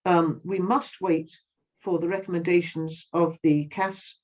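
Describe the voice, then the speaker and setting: A woman's voice: fairly low pitch, level tone, unhurried, a little mellow. An older woman giving earnest interview answers, impassioned, often restarting and repeating words. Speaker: interviewee, activist. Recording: slightly dull, lo-fi sound.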